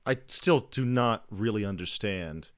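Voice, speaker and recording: Clear-voiced man, blunt and slangy. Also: lowish voice, animated, measured pace. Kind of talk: casual chat.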